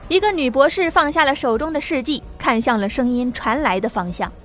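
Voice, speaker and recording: A woman's voice: sits high, brisk, fairly bright tone. A woman narrating a science fiction audiobook in a scripted, dramatic storytelling style. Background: background music.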